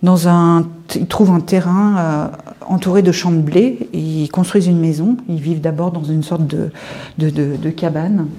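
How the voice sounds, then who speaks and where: A woman in her fifties speaks with a very dark, mellow tone and a fairly low pitch. She feels her way through her recollections, pausing and searching for words. The delivery is informal and reflective, like an artist guiding a visitor around her work. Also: fairly even intonation. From France, Paris suburbs. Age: 50s. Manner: hesitant, halting, reflective storytelling. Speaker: visual artist being interviewed. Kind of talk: informal guided-tour interview.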